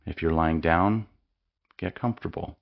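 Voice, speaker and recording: Man guiding meditation, very deep voice, gravelly, slow calm soothing delivery. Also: highs cut off. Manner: slow, calm, soothing instructions with long pauses. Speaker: meditation guide. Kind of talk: guided meditation.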